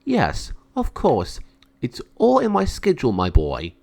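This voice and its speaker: A man's voice: medium pitch, huge pitch swings, measured pace, gravelly, a little mellow, pitched above the performer's own voice, exaggerated voice. A man voicing a proud, kindly adult male cat king in a children's storybook, in a stately manner. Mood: assured. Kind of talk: children's storybook read-aloud.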